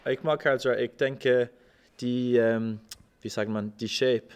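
A young man from Ireland, an exchange student who speaks German haltingly, with many fillers, and searches for words. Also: medium pitch, fairly even intonation, darkish tone, clear, resonant tone. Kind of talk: casual interview in German as a learner.

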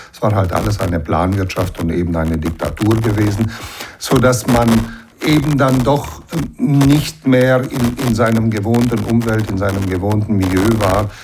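A middle-aged man from the Banat in western Romania, answering interview questions in a measured, explanatory way with frequent fillers. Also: fairly low pitch, background noise. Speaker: interview guest.

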